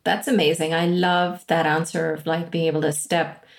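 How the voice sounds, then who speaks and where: A woman's voice: low voice, flat delivery, moderate pace, creaky. A woman hosting a casual interview, leading the conversation with enthusiastic questions. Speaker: podcast host.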